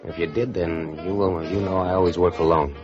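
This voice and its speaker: A jumpy, cagey petty crook out of 1950s radio crime drama, played by a male actor. His voice sits fairly low, and he talks in evasive, stammering tough-guy slang. The vintage recording is dull, with faint music. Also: fairly even intonation, fairly quick, lightly acted. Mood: evasive, nervous.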